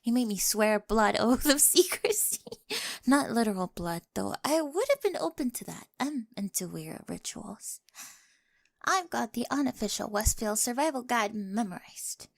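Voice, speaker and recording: Casting: adult woman as eccentric teen girl with a crush, light high voice with some creak, playful awkward ASMR delivery, often whispery. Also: big pitch swings, moderate pace, no echo, clean studio sound, heavily acted. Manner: playful, socially awkward rambling. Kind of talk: ASMR roleplay acting.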